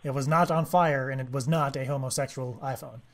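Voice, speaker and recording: A man making a prank call, speaking in a deadpan, mock-earnest way with halting, rambling delivery. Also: medium pitch. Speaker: prank caller, host of a prank call show.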